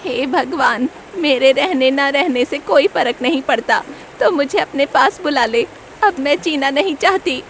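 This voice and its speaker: A woman's voice: sits high, unhurried, a little hiss or hum, pitched above the performer's own voice. A woman voicing a meek, wronged female goat in a cartoon fable, plaintive and imploring. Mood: despairing.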